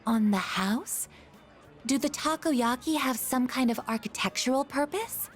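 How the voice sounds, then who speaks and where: A woman's voice: high voice, measured pace, faint music behind. A woman voicing a polite, literal-minded young female android in a video game, speaking in formal, precise, stilted sentences. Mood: earnest, curious.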